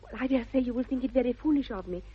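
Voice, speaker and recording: Prim dreamy young victorian miss, british, high breathy voice, old radio comedy, dull muffled sound. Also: female voice, racing. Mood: dreamily romantic. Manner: precise, earnest, affected delivery. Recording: dull vintage 1960s radio recording.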